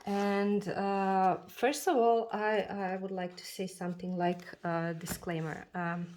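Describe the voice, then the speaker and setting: A woman chatting casually about her journals and planners, talking slowly with many fillers and restarts, her voice a bit froggy from a cold. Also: mid-range voice, level tone, darkish tone, slight background noise. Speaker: journaling video creator. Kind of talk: casual chat showing a planner.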